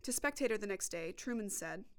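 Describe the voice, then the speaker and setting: A woman's voice: medium pitch, expressive, fairly quick, smooth. A young woman reporting and narrating a scripted documentary podcast.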